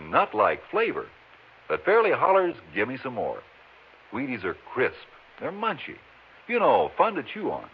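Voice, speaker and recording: Male vintage radio pitchman: chatty, enthusiastic, hugely animated intonation, slightly husky voice. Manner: folksy, chatty, enthusiastic selling. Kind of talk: 1950s radio advertising pitch. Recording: muffled old radio broadcast recording.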